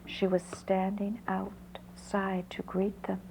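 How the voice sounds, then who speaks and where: A woman storyteller narrating a folk tale in an unhurried way, pausing between short phrases. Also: mid-range voice, monotone, a little mellow, a little hiss or hum, low-quality recording.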